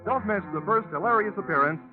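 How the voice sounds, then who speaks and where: American male announcer, high-pitched, polished, promotional, 1940s radio, narrow vintage broadcast sound, music underneath. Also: expressive, fast.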